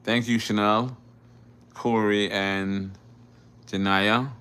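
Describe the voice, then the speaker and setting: A man's voice: lowish voice, steady tone, slow, fairly bright tone, clean tone. A middle-aged man from Jamaica, a driving instructor, hosting a casual live Q&A where he reads viewer questions aloud and answers them plainly. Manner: repeats words and restarts often. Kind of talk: casual livestream Q&A.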